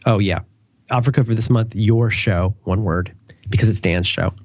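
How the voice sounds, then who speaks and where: A man's voice: lowish voice, normal speed, darkish tone, husky, no room sound. A stuffed-up guy co-hosting a podcast from a dry, close mic, wandering off on wry tangents between sponsor plugs. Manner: rambling, digressive, wryly joking. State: congested with a cold. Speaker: podcast co-host. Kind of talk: casual podcast chat and ad read.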